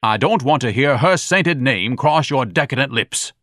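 A man's voice: mid-range voice, expressive, normal speed, fairly bright tone, no room sound, studio quality, voice pushed up. A man voicing a pompous, indignant eccentric who scolds in lofty, grandiloquent phrases. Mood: haughty.

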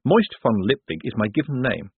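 A man voicing a quick-witted rogue in an audiobook, wary and plain-spoken. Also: medium pitch, big pitch swings, breathy edge, dry recording, phone-quality sound, heavily acted. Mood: protesting.

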